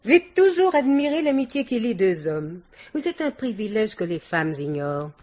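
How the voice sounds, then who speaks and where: A woman's voice: mid-range voice, very animated, normal speed, darkish tone. An actress playing a worldly, domineering older society woman in a vintage French radio drama, theatrical and effusive with little laughs. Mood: mannered, charming.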